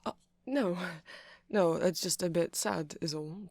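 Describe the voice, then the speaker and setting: A man playing a shy, nerdy vampire shop clerk in an ASMR roleplay, rambling gently and hesitantly to the listener. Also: high voice, measured pace, gravelly, no room sound. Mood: earnest, passionate. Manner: hesitant, awkward, apologetic, stammering, gentle. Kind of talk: ASMR roleplay, soft casual monologue to listener.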